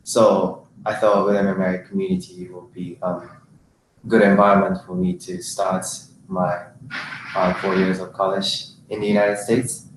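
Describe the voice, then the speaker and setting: A young man from Japan, a senior student speaking carefully with pauses on a panel. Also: deep voice, little pitch movement, distant mic. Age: young adult. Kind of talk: casual panel chat. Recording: very echoey room with faint hiss.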